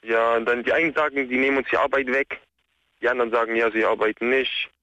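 Guy from saxony-anhalt phoning into radio, sharp bright voice, muffled phone sound, casual. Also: mid-range voice, steady tone, fast talker, no room sound. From Saxony-Anhalt, Germany. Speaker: radio show caller. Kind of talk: casual phone-in opinion talk. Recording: dull lo-fi phone call.

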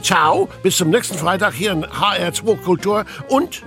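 An older man signing off a radio show with lively flair, from an archive broadcast. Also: high-pitched, normal speed, soft background music. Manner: lively, swinging sign-offs.

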